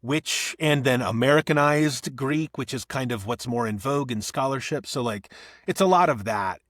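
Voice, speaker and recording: A man, an actor and audiobook narrator, talking as a podcast guest, thinking aloud with restarts and soft laughs. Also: mid-range voice, normal speed, dry recording, clean studio sound. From Northeast Ohio. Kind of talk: conversational podcast interview.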